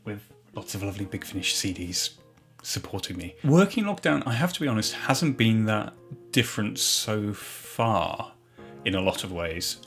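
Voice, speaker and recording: A man's voice: on the low side, very animated, normal speed, a bit bright, faint music behind. A man speaking informally as a producer and director, recorded in his home office. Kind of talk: informal talk to camera.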